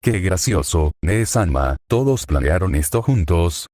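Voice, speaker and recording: A man's voice: low-pitched, lively intonation, unhurried, warm tone, no room sound, clean studio sound. A man reading the lines of a cheerful, jokey young hero who turns desperate. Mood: joking. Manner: jokey, then pleading.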